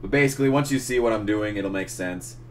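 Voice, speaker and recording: A young man losing his voice, casually narrating a photo edit, muttering and swearing at the software. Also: lowish voice, quick pace, slight background noise. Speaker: gaming channel host. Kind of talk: casual tutorial commentary while editing.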